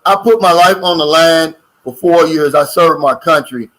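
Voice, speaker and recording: A man's voice: fairly high pitch, normal speed, fairly bright tone, poor audio. An older American man co-hosting a casual livestream chat and reading out viewer comments in a folksy, drawling way. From United States. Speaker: co-host of a livestream.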